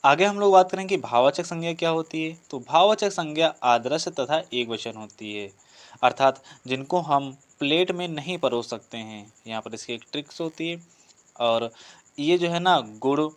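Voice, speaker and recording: A man's voice: on the high side, a little slow. A man teaching a grammar lesson, explaining step by step with repetition, listed examples and rhetorical questions. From India. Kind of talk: online exam-prep grammar lesson.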